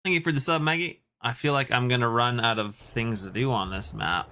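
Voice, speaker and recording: A man's voice: medium pitch, brisk, loud music behind the voice. A Canadian man chatting casually and in a rambling way as he hosts a video game livestream and responds to his chat. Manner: rambling, reacting to chat messages.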